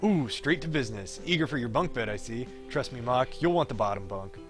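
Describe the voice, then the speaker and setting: A man's voice: mid-range voice, lively intonation, brisk, natural voice. A man voicing a wry, cocky young pro gamer from a visual novel, teasing and breezy. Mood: teasing, wistful. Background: game music.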